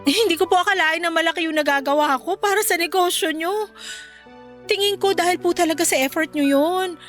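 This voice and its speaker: Super high-voiced filipina employee, humble n worried, hesitant, radio drama w soft music behind. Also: female voice, a little fast. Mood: humble, grateful. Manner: polite, hesitant, deferential. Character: humble, worried young female employee, grateful and deferential.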